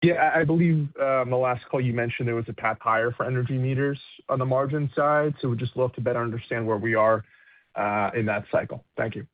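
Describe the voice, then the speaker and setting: A man's voice: medium pitch, fairly even intonation, fast, dry recording. A man phoning in as a financial analyst to ask a question on an earnings call. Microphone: phone call. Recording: tinny, dry phone line.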